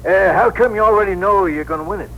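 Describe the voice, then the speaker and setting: An actor playing a talkative, good-humored older cab driver, colloquial and blustery, in a 1960s radio drama. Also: male voice, sits high, fast, a bit bright, muffled recording. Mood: amused, chatty. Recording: noisy vintage radio broadcast recording.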